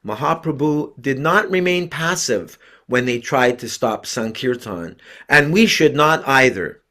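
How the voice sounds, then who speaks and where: A man's voice: mid-range voice, lively intonation, unhurried, pushed and bright. Older man preaching to a devotee gathering. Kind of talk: read-out sermon, then informal introduction.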